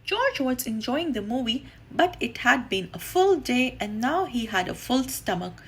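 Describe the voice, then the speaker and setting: A woman reading a children's story aloud, deliberately and expressively, with drawn-out emphasis. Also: a little high, sing-song, fairly bright tone, breathy edge.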